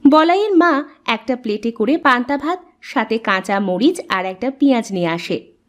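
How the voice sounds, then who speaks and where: A woman's voice: a little high, animated, normal speed. A woman reading scripted narration for a children's story.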